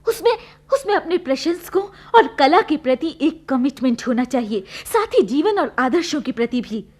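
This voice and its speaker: A woman's voice: high-pitched, big pitch swings, moderate pace. A woman acting in a radio play as an idealistic admirer, speaking in an earnest, emphatic dramatic way. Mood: bitter, disillusioned. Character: idealistic, earnest female admirer of a film celebrity.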